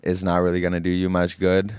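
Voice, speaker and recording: A young man from Finland with an American accent, calmly explaining things as an interview guest and often repeating words. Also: deep voice, fast talker, clear, resonant tone, no echo, clean studio sound. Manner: calm, even explanations with frequent word repeats. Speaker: guest, golf caddie. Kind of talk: casual interview answers.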